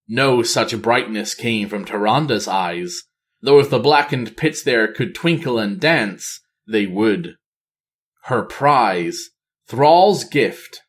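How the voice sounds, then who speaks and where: A man reading a fantasy novel aloud as scripted narration, in a measured, theatrical manner. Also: medium pitch. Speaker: audiobook reader.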